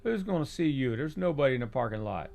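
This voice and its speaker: A male narrator voicing an excitable young man who keeps saying man and dude in an audiobook. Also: medium pitch, fast, gravelly, a little hiss or hum. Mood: excited.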